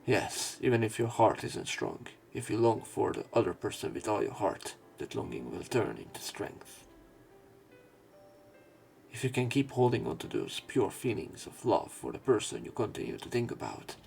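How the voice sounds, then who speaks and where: A man reading a visual novel aloud as a let's player, in an expressive, dramatic narration style. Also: on the low side, moderate pace, creaky, faint music behind. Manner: expressive, with emotional swells.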